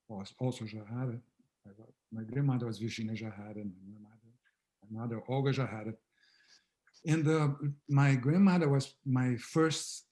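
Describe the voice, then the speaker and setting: Soft-spoken man with a mellow voice. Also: medium pitch, low-quality recording. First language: Portuguese. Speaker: aquatic therapy method founder, guest. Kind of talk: casual conversation on a video call. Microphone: video call.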